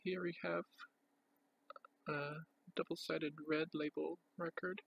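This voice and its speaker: A man presenting items from a collection in an unscripted show-and-tell, with a plain, halting, itemising delivery. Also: on the high side, little pitch movement, vocal fry, a bit bright, breathy edge, rough recording.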